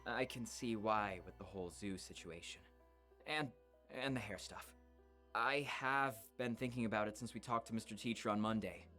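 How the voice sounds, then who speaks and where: A woman's voice: rumbling low, moderate pace, fairly bright tone, soft background music. A woman voicing an easygoing, nonchalant teenage boy in a visual novel, talking in a casual, hesitant, placating way. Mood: apologetic. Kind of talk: visual novel character acting.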